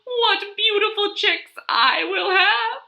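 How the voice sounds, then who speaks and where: Woman voicing a vain, high, drawling storybook hen. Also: vocal fry, pushed and bright, full character voice. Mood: self-admiring. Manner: drawn-out, crooning, haughty. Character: vain boastful hen with a slow affected drawl. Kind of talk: animated picture-book read-aloud.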